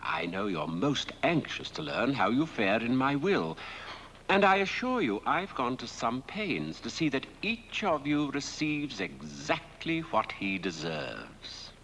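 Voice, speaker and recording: An actor playing a suave, sardonic lord who delivers a film monologue with formal, mock-affectionate deliberation. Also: male voice, mid-range voice, wildly swooping pitch, takes it slowly, gravelly, fairly bright tone, a little hiss or hum, heavily acted, poor audio. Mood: smug, sardonic. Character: sardonic, suave dead lord reading his own will.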